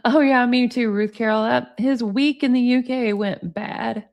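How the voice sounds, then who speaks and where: A woman's voice: a little high, fairly even intonation, unhurried, creaky, a little mellow. A woman in her forties hosting a livestream. She reads text messages aloud and chats with viewers in the comments. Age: 40s. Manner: chatty, talks to viewers in the comments. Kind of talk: casual livestream chat, reading texts aloud.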